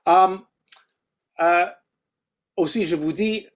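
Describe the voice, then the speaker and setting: A man from Canada with a slightly high voice leads an informal workshop as a language teacher. His native English shows in a mid-Atlantic English accent. He speaks haltingly, feeling for the next word in his second language. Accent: mid-Atlantic English accent in French.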